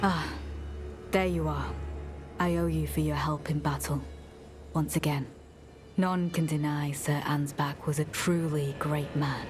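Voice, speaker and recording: A woman playing a courteous but coldly zealous knight in a fantasy game, speaking in formal, measured, archaic lines. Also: low-pitched, fairly even intonation, noisy background. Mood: grateful, solemn. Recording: echoey game audio under music.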